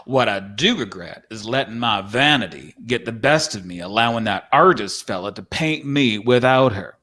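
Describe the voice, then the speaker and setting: A man's voice: medium pitch, lively intonation, normal speed, sharp tone. A man playing a folksy, courtly, wistful cowboy from the Old West in a dramatic script reading. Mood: regretful, tender.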